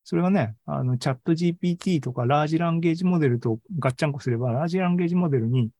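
A man's voice: on the high side, racing, warm tone, no echo, dull audio. A man giving an informal tech talk as an online presenter, thinking aloud and often checking for agreement. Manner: conversational, seeks agreement with frequent sentence-end tags. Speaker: presenter of an online AI seminar and podcast. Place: indoors, live online video meeting.

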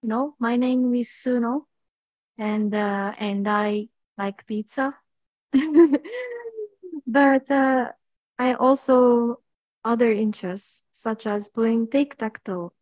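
A computer-generated woman's voice speaks in a casual, hesitant sample style. It is high in pitch and extremely slow, with a dry, close sound. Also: darkish tone, no echo. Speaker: text-to-speech sample voice. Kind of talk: hesitant casual self-introduction.

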